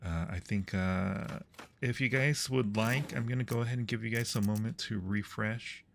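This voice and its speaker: A man's voice: on the low side, normal speed, vocal fry, fairly bright tone, slight background noise. A man hosting a livestream, chatting casually with his viewers while playing games. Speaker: livestream host.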